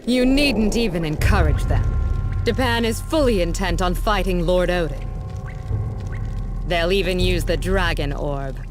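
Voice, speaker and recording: A woman's voice: lowish voice, unhurried, over music, lightly acted. A woman playing a stern, haughty goddess warrior in a fantasy video game, speaking in an imperious, formal manner. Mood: cold, commanding.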